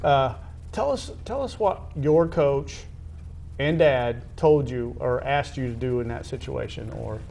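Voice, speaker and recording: A man hosting a sports interview, asking long conversational questions in halting, wordy phrasing with repeated words. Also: mid-range voice, expressive, vocal fry, a little mellow. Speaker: interview host.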